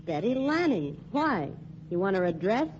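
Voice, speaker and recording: An actress playing a tough, guarded nightclub singer, with an American accent and curt, slangy, defiant speech in old-time radio drama style. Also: female voice, mid-range voice, very animated, moderate pace, a little mellow, lightly acted. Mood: grudgingly confiding. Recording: dull, noisy old radio recording.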